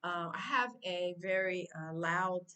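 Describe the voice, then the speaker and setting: A woman's voice: fairly low pitch, measured pace, pushed and bright, clear, resonant tone. A woman from the United States with an American accent, talking casually and informally to her viewers in a rambling way, with lots of fillers and restarts. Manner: addresses viewers directly and informally. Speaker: vlogger. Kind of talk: casual talk to camera. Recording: voice a bit distant from the mic.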